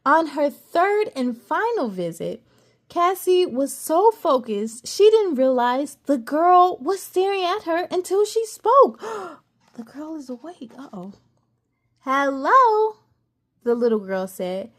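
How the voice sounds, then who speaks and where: A woman reading a children's picture book aloud as a storyteller, playful and animated, with chatty asides to young listeners. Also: high-pitched, slow pace.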